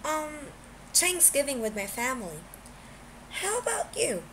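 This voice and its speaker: A woman plays a shy young man in an audio story, pitched up and easily flustered. He speaks haltingly and awkwardly, over noticeable background noise. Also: big pitch swings, heavily acted. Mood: embarrassed. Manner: hesitant, halting.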